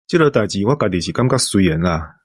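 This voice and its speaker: Low-voiced, reflective Taiwanese man. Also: expressive, fast, husky, dry recording, clean studio sound.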